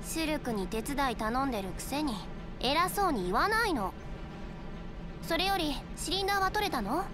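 A caring but feisty teenage girl for a video game cutscene. Her voice is high and animated, with a soft, inquisitive girlishness. Music plays clearly behind her. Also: moderate pace. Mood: scolding. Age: young adult.

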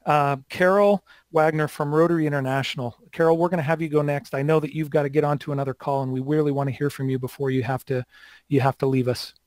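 Higher-pitched older man moderating. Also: steady tone, fast talker, a little mellow, no echo. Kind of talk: hosting an online round table. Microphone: headset on a video call.

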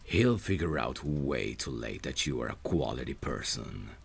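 Very deep, gravelly, tender male friend played by a man. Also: expressive, full character voice. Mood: tender, concerned. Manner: tender, soothing, unhurried. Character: protective lovesick male friend, gentle and devoted. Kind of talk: ASMR romantic roleplay, slow intimate delivery.

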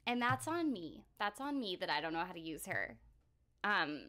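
A young woman chatting casually as she livestreams a game, excitable and giggly, often repeating her phrases. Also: on the low side, normal speed, a little hiss or hum. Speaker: game streamer. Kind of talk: casual livestream gameplay chat. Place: indoor room at home. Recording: loud game music behind. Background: game music.

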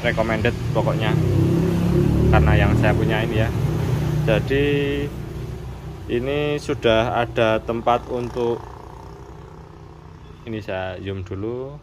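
A man's voice: fairly low pitch, little pitch movement, takes it slowly, pauses often. A man giving a casual hands-on tutorial, explaining step by step in an informal, repetitive way. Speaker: motorcycle accessory shop presenter. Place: indoors at a wooden workbench. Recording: clearly noisy room with background hum.

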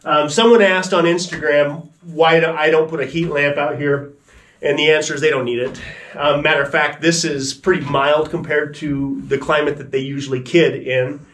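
A man in his forties calmly explaining his goats to camera inside a wooden barn. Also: a little high, normal speed. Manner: relaxed, chatty, matter-of-fact. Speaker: ranch owner and vlogger. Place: wooden barn goat pen.

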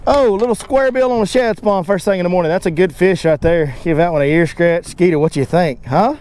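A man in his thirties casually narrating a fishing trip from a boat, folksy and excitable, talking to his dog and the fish. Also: high-pitched, brisk, a little hiss or hum. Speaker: fishing guide and channel host. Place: outdoors on a boat on a lake.

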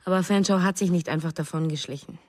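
A woman plays a grieving young mother in a radio play. Her voice is low and restrained, pensive in short phrases, with a long reverb around it. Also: quick pace, lightly acted. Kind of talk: German radio drama acting.

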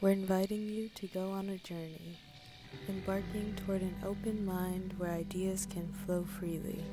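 A woman narrating a scripted guided meditation in a soft, gentle, soothing and instructing manner, sometimes close to a whisper. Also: medium pitch, flat delivery, normal speed. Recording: voice over prominent music bed. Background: loud music.

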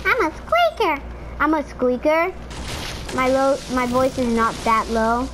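A woman with a fairly high voice talks casually through a team shooter match. She rambles, fills gaps with "like" and "um", and keeps up a steady chatter. Noisy game sound runs under her voice. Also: a little slow. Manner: rambling, filler-heavy, chatty. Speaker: streamer playing in a squad. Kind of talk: casual gaming chat. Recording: noisy game audio behind.

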